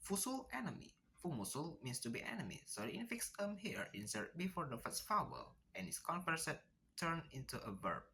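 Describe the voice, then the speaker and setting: Bright, lively voice presenting a lesson. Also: medium pitch, moderate pace, creaky, a little hiss or hum, rough recording. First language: Indonesian. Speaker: student presenter. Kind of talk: class presentation.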